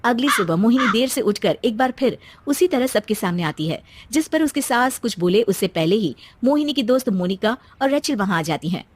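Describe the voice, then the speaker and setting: A woman's voice: a little high, lively intonation, a little fast, hardly any pauses, dry recording. A woman narrating a scripted story as its storyteller.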